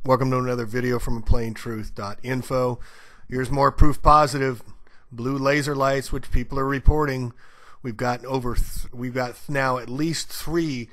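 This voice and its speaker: A man's voice: mid-range voice, fairly bright tone. A man hosting an online video, talking insistently with fillers and restarts. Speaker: video host. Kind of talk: casual online commentary.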